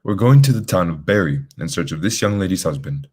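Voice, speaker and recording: Radio mystery lead: composed, matter-of-fact detective, played by a man, deep voice, fast clipped delivery. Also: lively intonation, darkish tone, slightly rough. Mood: shrewd, probing. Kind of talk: radio drama acting.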